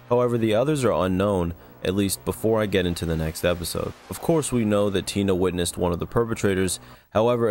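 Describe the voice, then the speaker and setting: Male narrator for scripted analysis videos, low voice, measured delivery with dry asides, music underneath. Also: a little mellow. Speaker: video essay narrator and host.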